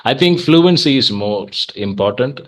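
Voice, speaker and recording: A man's voice: mid-range voice, lively intonation, unhurried. A young man from Tamil Nadu with a Tamil-tinged Indian English accent, earnestly explaining his views in a casual conversation-practice chat with many "uh" fillers. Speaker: host of English practice channel.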